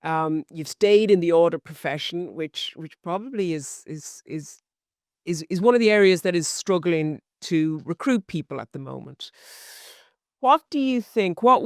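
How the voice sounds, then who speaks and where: A woman hosting an interview podcast, encouraging and warm, often repeating words as she finds her phrasing. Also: low-pitched, no room sound, clean studio sound. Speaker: podcast host.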